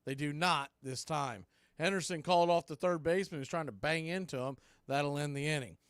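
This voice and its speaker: A man with a high-pitched voice calls a baseball game as a radio play-by-play announcer. The sound is dry and close, with no room echo. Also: brisk, a bit bright, smooth. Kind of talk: live sports radio play-by-play commentary.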